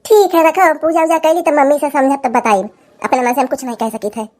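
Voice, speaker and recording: A woman voicing a sharp-tongued young village wife in a cartoon comedy, scolding and sarcastic with an Awadhi accent. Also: sits high, fairly even intonation, fairly quick, natural voice.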